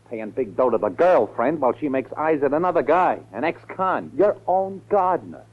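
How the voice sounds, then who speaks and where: An actor playing a blunt, exasperated campaign manager who talks in insistent slang, in 1940s radio style. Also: male voice, a little high, sing-song, moderate pace. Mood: exasperated, scornful. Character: hard-nosed, exasperated male political campaign manager. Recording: tinny 1940s radio recording.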